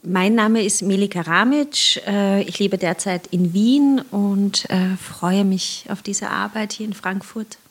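A woman's voice: mid-range voice, measured pace, hardly any pauses, husky. A woman theatre director answering interview questions in a hesitant way, with many filler sounds. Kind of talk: podcast interview guest.

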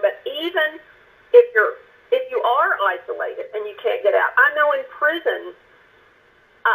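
A woman minister, a guest on the show, telling her personal testimony in an earnest, halting way, often restarting and repeating words. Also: fairly high pitch, vocal fry, fairly bright tone, low-quality recording. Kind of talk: personal testimony in a faith interview.

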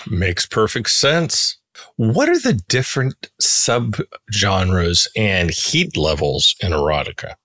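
A man's voice: on the low side, very animated, slow pace, bright, forceful tone. A man hosting a podcast, reading scripted promos and introductions and putting questions to a guest. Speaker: podcast host.

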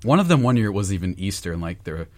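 A man's voice: low voice, sing-song, fast, darkish tone, dry recording. A young man chatting on the radio, rambling and self-deprecating with lots of fillers. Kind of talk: casual radio chat.

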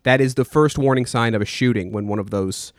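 A man's voice: mid-range voice, brisk, no room sound. A man co-hosting a satirical comedy chat, delivering ironic claims in a mock-earnest tone. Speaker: podcast co-host.

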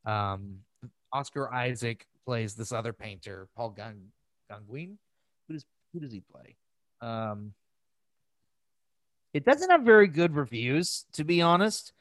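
A man's voice: medium pitch, big pitch swings, slow pace, long pauses, dry recording. Crass, enthusiastic man, dry close sound. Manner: crass, freely swearing; emphatic, gushing enthusiasm. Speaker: podcast host. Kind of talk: casual film review chat.

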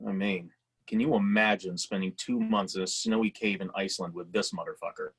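An actor playing a weary, resentful grown son in a dramatic table read, with a slightly husky voice and a halting delivery. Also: male voice, deep voice, fairly even intonation, a bit bright, exaggerated voice. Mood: let down. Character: resentful, weary grown son confronting his absent father. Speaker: actor in a screenplay reading.

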